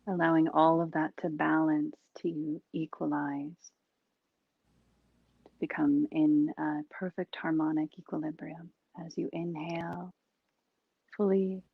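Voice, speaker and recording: Slow, soft woman guiding a meditation. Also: low-pitched, flat delivery, no echo, narrow, tinny audio. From Hawaii. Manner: soft and breathy, drawn out. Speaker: meditation guide.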